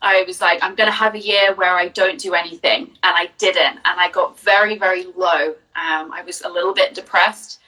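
A young woman from Jersey speaks as a guest in a casual interview. Her voice is bright and clear, and she tells her story openly, with lots of ums. She sits a little away from the mic. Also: on the low side, steady tone, normal speed, few pauses, far from the mic, rough recording. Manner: open, chatty storytelling with fillers. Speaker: business coach, guest.

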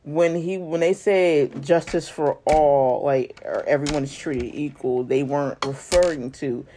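Earnest American podcast speaker, medium pitch. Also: fairly even intonation, a little slow, dark tone, a little hiss or hum. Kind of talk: casual conversation.

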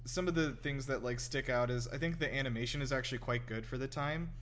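A young man hosting a podcast, chatting casually about films in a halting, rambling, informal way. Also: mid-range voice, fairly bright tone, a little hiss or hum. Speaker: podcast host. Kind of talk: casual chat, informal film review.

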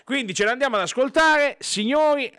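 Bright, lively man hosting radio. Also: very high voice, normal speed, clear, resonant tone, no room sound, clean studio sound. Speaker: radio show host. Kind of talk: comic casual radio banter.

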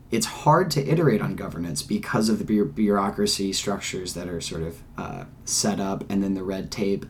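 Male host, low voice, considered and hedging delivery, slight ambient noise behind. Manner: thoughtful, hedging with "like" and "kind of". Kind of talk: casual interview talk.

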